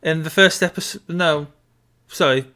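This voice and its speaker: Bloke chatting on a podcast, bright clean voice, casual film talk. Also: male voice, a little high, normal speed. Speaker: podcast co-host.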